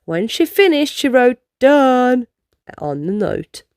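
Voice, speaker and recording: Female storybook reader, high voice, very lively intonation, expressive teaching delivery for children, dry close sound. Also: a little slow, no room sound. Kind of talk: read-aloud storybook narration.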